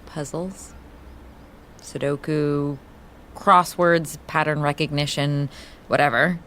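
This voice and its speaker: An intense, coaxing woman in an audio drama with a low-pitched voice. She is conversational but probing and insistent, over faint background noise. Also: fairly even intonation, slow pace, fairly bright tone. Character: intense, coaxing woman obsessed with solving puzzles. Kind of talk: scripted audio drama acting.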